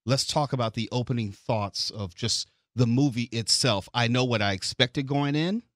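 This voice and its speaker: A man hosting a podcast, giving measured, articulate commentary on a film. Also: mid-range voice, fairly bright tone, no room sound. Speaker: podcast host.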